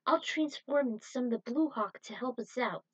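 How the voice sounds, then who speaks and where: A woman's voice: a little high, normal speed, lo-fi sound, voice pushed up, lightly acted. A woman voicing a young male squad leader who gives urgent, encouraging orders in an action story. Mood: commanding, encouraging. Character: commanding young male squad leader rallying his troops.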